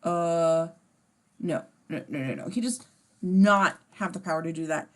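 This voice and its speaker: A woman's voice: fairly low pitch, measured pace. A woman reading stage directions in an amateur table read, casual and sardonic, dropping in asides.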